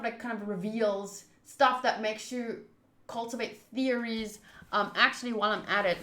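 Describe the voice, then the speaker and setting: A woman hosts a game playthrough in an informal, streamer's way. Her voice is bright and of middling pitch. The room around her adds a noticeable echo. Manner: informal, chatty, thinks aloud. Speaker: streamer, visual novel playthrough host. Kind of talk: casual livestream chat and reading game text aloud.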